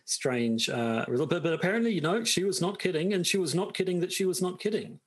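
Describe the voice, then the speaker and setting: Halting middle-aged New Zealand man. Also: high voice, expressive, breathy edge. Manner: halting, repeats words while thinking aloud. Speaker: podcast co-host. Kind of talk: casual podcast chat.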